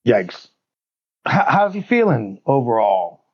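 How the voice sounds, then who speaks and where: Lively joking man at a tabletop game. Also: mid-range voice, takes it slowly, no echo, muffled recording. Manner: joking, laughs often. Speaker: player. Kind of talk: casual tabletop play.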